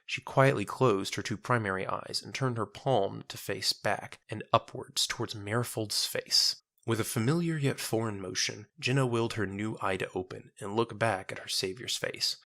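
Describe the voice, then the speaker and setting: Low-pitched, bright male story narrator. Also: no echo, clean studio sound. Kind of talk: scripted dramatic story narration.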